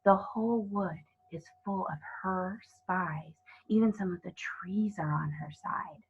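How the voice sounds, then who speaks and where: Woman voicing a guilt-ridden, tearful male faun, husky. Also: on the low side, a little slow, phone-quality sound, full character voice. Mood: resigned, cautious. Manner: melodramatic, pleading. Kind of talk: children's storybook character voice.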